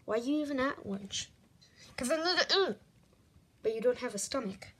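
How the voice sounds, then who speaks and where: A woman voicing plush toys in an improvised toy skit, playful with rapid back-and-forth lines. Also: a little high, expressive, fairly bright tone, husky. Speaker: plush video maker voicing all the toys. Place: carpeted bedroom floor.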